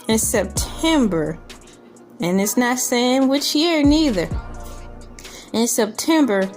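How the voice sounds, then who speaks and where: Woman, fairly high voice, very unhurried, casual comedic commentary, incredulous rambling delivery, background music. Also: animated, darkish tone. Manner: incredulous, joking, rambling asides. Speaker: video host. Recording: music bed under the voice.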